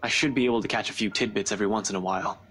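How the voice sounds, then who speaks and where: A man's voice: fairly low pitch, fairly even intonation, racing, fairly bright tone, soft background music, lightly acted. A man playing a weary, earnest young police detective in a visual novel, speaking hesitantly and respectfully. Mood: earnest, reassuring.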